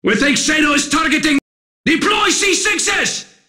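Urgent enemy trooper, extremely high male voice, sharp and forceful, shooter game. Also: expressive, measured pace, heavily acted. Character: urgent enemy soldier shouting over comms. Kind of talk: military game combat barks.

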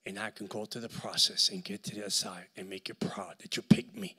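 A middle-aged man with a Puerto Rican accent preaching a sermon with a handheld mic in a large church hall, swinging between shouts and near-whispers. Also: on the low side, steady tone, brisk, breathy edge. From Puerto Rico. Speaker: guest preacher.

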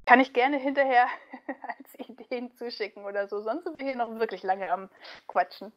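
Higher-pitched woman chatting in an interview. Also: normal speed, lo-fi sound. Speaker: voice actress, interview guest. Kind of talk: casual interview chat.

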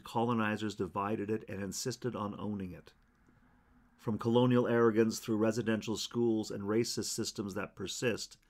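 A man, a church minister, reading a scripted statement of welcome and belief in measured, deliberate phrases. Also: on the low side.

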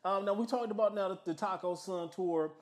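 Higher-pitched man hosting a casual interview. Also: brisk, reverberant. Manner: slangy, affirming, repeats himself. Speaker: interviewer, host. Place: small music studio room, off camera.

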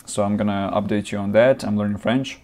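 A young man with a lowish voice rants about games into his webcam. He's not a native English speaker and keeps restarting his sentences and repeating points. The sound is close and plain, from a small room. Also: fairly even intonation, brisk, darkish tone. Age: young adult. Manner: ranting, repetitive, many restarts and fillers. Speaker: gaming vlogger. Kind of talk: casual opinion vlog. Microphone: webcam at desk, close framing.

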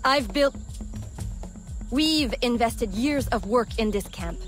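A woman's voice: a little high, expressive, slow pace, a bit bright, soft background music. A woman playing a brash, sardonic swamp smuggler in a video game. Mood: defiant.

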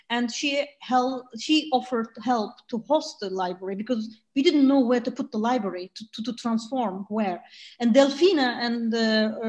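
A woman in her forties, a non-native speaker with a Turkish accent, speaking on an online panel over a laptop mic, halting with many fillers and restarts. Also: a little high, darkish tone, poor audio. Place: room by a sunny window. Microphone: laptop mic on video call.

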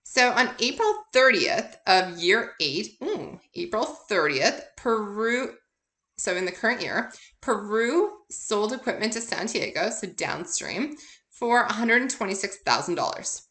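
A woman's voice: medium pitch, sing-song, unhurried, sharp tone. A woman instructor giving an informal recorded lecture, working through examples in a conversational, explanatory way and answering her own questions. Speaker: accounting instructor.

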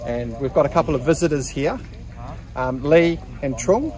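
A middle-aged Australian man casually narrating a four-wheel-drive club trip to his phone camera outdoors, relaxed with lots of ums and dry humour. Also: on the high side, moderate pace, recorded from a distance, poor audio. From Western Australia. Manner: laid-back, many ums, dry humour. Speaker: club member filming a trip vlog. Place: outdoors at a rural campsite.

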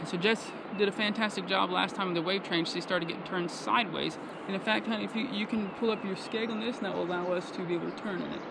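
A man's voice: sits high, fairly even intonation, vocal fry, very noisy. A middle-aged man, chatting casually as he paddles a kayak down a river. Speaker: kayaking vlogger. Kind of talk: casual outdoor narration to camera. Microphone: action camera on the kayak. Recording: loud rushing water behind.

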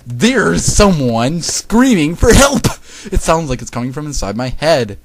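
An irritable, studious teenage girl from a fan story, read out by a man. She is loud and exclamatory, and protests indignantly at everything. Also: medium pitch, expressive, quick pace, lightly acted. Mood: panicked.

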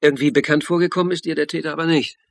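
A calm wry detective type in a radio crime play, bit husky. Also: male voice, fairly high pitch, rapid-fire, dry recording. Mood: reassuring. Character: calm, wry police academy lecturer and investigator. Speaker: actor, narrator and lead. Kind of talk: radio crime drama acting and first-person narration.

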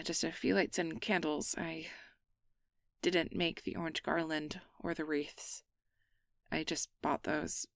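A woman acts out a flustered young changeling woman in an intimate ASMR roleplay. Her voice sits fairly low with plenty of gravelly fry, and she speaks slowly and close in a dry space. She stammers and hedges, guarded on the surface and tender underneath. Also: no room sound. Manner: hesitant, flustered, stammering, downplaying.

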